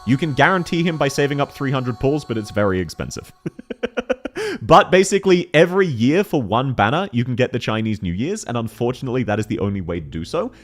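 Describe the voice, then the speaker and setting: Deep-voiced, lively young male streamer. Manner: excitable, laughs often, slangy and quick to correct himself. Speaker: game streamer. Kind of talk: casual live-stream chat, answering viewer questions.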